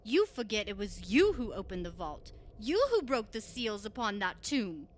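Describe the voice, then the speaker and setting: A woman's voice: medium pitch, sing-song, normal speed, lightly acted. A woman playing a grave clergywoman in a radio drama, speaking formally and pointedly. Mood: indignant. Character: grave, outspoken clergywoman challenging a sceptic. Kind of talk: old-time radio horror drama acting. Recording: stage recording with faint hiss.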